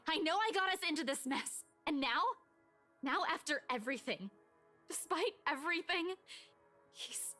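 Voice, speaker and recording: A woman's voice: high voice, bright, forceful tone, heavily acted. An actress voicing an anxious, grieving young woman in a horror visual novel game.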